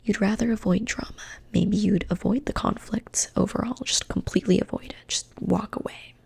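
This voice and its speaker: A young woman whispering softly in an ASMR personality-quiz roleplay, slow and soothing, often repeating phrases. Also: medium pitch, steady tone. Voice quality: breathy. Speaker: ASMR host.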